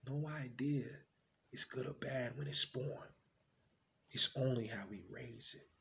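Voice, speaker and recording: A man voicing a gentle, wise father reassuring his young son in a monologue, soft and breathy, with slow, tender, measured delivery. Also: fairly high pitch, lively intonation, a little hiss or hum, natural voice.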